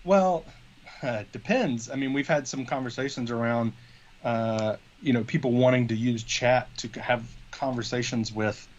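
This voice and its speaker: A man's voice: medium pitch, normal speed, dull audio. A man in his forties co-hosting a tech show in a casual, hesitant, conversational way, thinking aloud as he goes. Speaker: co-host of a tech news show. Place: small home office, video call.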